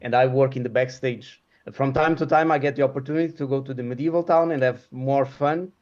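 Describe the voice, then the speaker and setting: A man from Portugal, a guest printmaker, talking casually in an interview and pausing often with fillers and restarts. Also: medium pitch, fairly even intonation, highs cut off. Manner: halting, many fillers and restarts.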